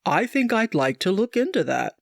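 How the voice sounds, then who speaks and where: A woman voicing a hopeful middle-aged father who speaks in a lowered, steady voice. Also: moderate pace, gravelly, no room sound, studio quality.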